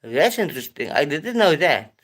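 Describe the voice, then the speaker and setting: A South African man hosting a casual live stream, talking in a hesitant, halting way with many pauses and restarts. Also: on the high side, big pitch swings, fairly bright tone.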